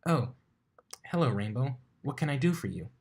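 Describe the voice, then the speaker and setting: A man's voice: mid-range voice, lively intonation, moderate pace, creaky, a little mellow, slightly rough, voice pushed up. A man voicing a young, bookish female unicorn who sounds inquisitive and flustered in a cartoon fan story. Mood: friendly.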